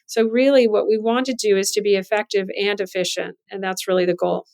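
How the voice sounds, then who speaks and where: A woman's voice: medium pitch, fairly even intonation, a little fast, gravelly, a little mellow. A woman hosting a podcast interview, introducing guests and putting questions to them. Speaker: podcast host and journal editor.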